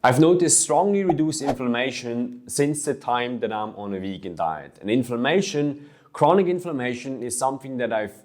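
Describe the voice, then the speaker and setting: A man's voice: mid-range voice, moderate pace, darkish tone. Casting: young man from Switzerland, fitness coach, earnest first-person vlog storytelling, mid-range voice. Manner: earnest, storytelling with small restarts.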